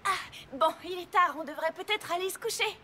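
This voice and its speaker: An actress voicing an earnest, bookish young pony mare hosting a sleepover in a French cartoon dub, fretful at times. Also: female voice, very high-pitched, brisk, a little hiss or hum. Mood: nervous. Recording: loud music under dialogue.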